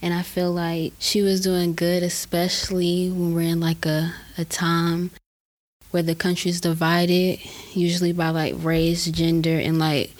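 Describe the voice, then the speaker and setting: A woman's voice: lowish voice, level tone, a little slow. A teenage girl talking earnestly in a casual panel discussion, with many "I feel like" and "like" fillers.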